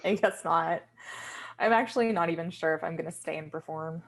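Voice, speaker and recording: A woman's voice: low-pitched, a little fast. A woman playing a hesitant, self-doubting new burlesque performer in a naturalistic table read, tentative and understated. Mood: nervous, unsure.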